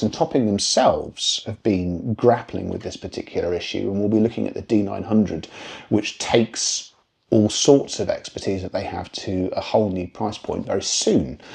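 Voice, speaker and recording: A British man with a low-pitched, very mellow voice presents a review to camera. He talks conversationally but articulately, thinking through his points in a measured way and dropping in dry asides. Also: creaky. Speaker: product reviewer presenting a video.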